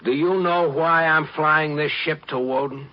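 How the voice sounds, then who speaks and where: A man's voice: on the high side, steady tone, unhurried, natural voice. A man playing a stern, dutiful spaceship pilot in a 1950s radio drama, speaking tersely with grim regret. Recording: dull, lo-fi 1950s radio recording.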